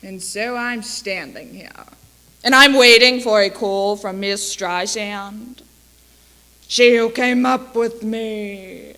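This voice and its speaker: A woman playing a brash female Hollywood agent in a dramatic stage monologue, speaking deliberately with long pauses. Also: mid-range voice, pushed and bright. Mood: wistful. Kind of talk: theatrical dramatic monologue for speech competition.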